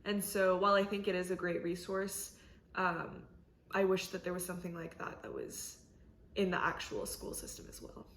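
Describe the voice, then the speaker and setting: A young woman whose first language is Korean answers interview questions in a thoughtful, measured way, with frequent fillers. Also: on the low side, animated, creaky, fairly bright tone, slight background noise. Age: young adult. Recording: roomy echo.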